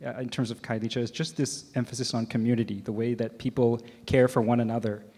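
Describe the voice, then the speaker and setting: A Canadian man moderating a conference panel, talking in a relaxed way with many ums and restarts. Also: mid-range voice. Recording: long hall reverb.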